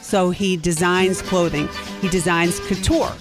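A woman's voice: fairly low pitch, steady tone, unhurried. A woman giving a casual, chatty introduction as host of an audio play. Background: music behind the voice.

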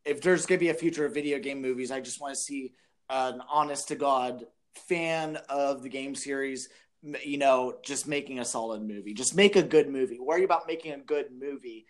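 A man's voice: mid-range voice, a little fast. A man co-hosting a podcast, speaking in a casual conversational way. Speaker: podcast co-host.

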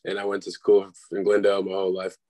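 A man's voice: low-pitched, monotone, fast, darkish tone, dry recording. Laid-back young man, dry close sound. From Milwaukee, Wisconsin, United States. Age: 20s. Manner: laid-back, says "bro", laughs between phrases. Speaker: guest, dubstep producer and DJ. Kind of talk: casual interview chat.

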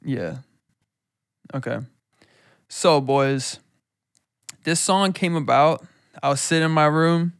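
A man's voice: a little high, slow, long pauses, clear, resonant tone, no room sound. A young man hosting a casual podcast in a home studio, teasing his guest and slipping into mock-formal speeches. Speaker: podcast host.

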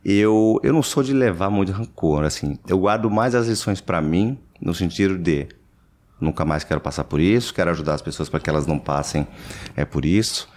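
Male guest, deep low voice, easy hesitant chat, from Brazil. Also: brisk. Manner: relaxed, hesitant, many fillers. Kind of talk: casual interview chat.

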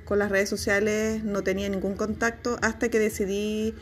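A woman's voice: medium pitch, little pitch movement, moderate pace, faint music behind. A woman leaving a grateful voice message for a podcast, speaking hesitantly with frequent fillers. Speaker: listener sending a voice message. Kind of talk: recorded voice message.

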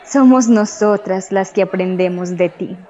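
An actress with a Colombian accent playing an earnest, encouraging suffragist in a radio drama, slightly dull recording. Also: female voice, mid-range voice, measured pace, mellow, faint music behind, natural voice. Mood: earnest admiration.

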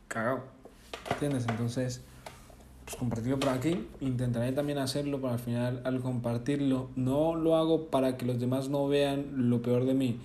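Young man, reflective monologue, mellow dark tone, roomy echo. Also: mid-range voice, a little hiss or hum. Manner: casual and earnest, with self-corrections and tag questions. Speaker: vlogger.

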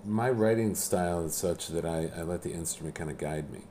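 A man in casual conversation about music, speaking haltingly with many restarts and a self-deprecating manner. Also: low-pitched, dark tone, slight background noise. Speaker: musician guest. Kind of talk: casual chat.

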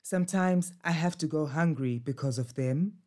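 A woman's voice: deep voice, normal speed, mellow, natural voice. A woman voicing a wary, stern old male guard dog in a storybook, speaking in a grave, cautioning way. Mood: grumbling.

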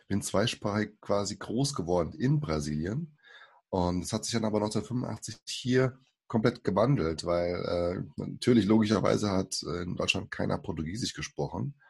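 A man's voice: lowish voice, expressive, moderate pace, creaky, breathy edge. A man in his forties, born in Brazil, talking as a podcast guest in a hesitant way with many fillers and restarts. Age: 40s. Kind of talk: casual interview talk.

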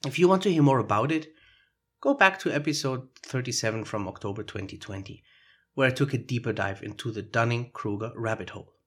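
A man's voice: medium pitch, brisk, fairly bright tone, slightly rough. A man with a foreign accent hosting a solo podcast, explaining his ideas in a reflective way. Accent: foreign accent in English. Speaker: podcast host.

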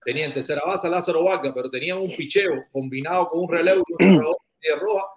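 A man's voice: a little high, fast, a loud crowd. A man in a heated baseball debate, insisting on finishing his point. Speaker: panelist.

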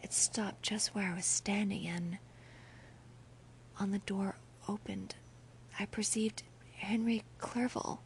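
A woman reading a classic novel as an audiobook in a breathy, husky, often whispery voice, with hushed, theatrical and deliberate phrasing. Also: medium pitch, steady tone. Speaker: audiobook narrator. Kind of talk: dramatic scripted narration of a classic novel.

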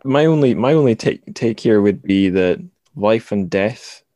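Low-voiced male podcast host, dry sound. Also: animated, measured pace, mellow, no room sound. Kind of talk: reflective conversational interview.

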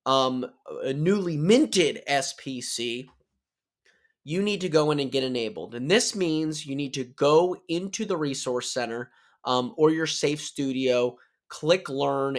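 A man's voice: medium pitch, measured pace. A man hosting a solo podcast, explaining casually with restarts while nursing a sore throat from a cold. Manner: upbeat, chatty, with restarts and self-corrections. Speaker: podcast host.

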